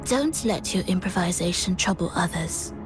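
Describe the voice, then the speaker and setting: An actress voicing a composed, commanding female game villain with a measured, stern and formal manner. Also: lowish voice, animated, close to an everyday voice. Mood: cool warning. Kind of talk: video game voice acting. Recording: music behind the voice.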